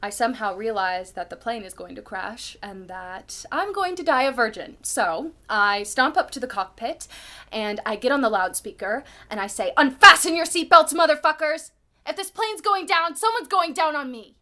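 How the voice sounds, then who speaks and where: A woman performing a candid, self-deprecating young woman in a dramatic stage monologue, with halting, confessional delivery and dramatic pauses. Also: high-pitched, lively intonation, pushed and bright. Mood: anxious, confessional, then brazen, shouting.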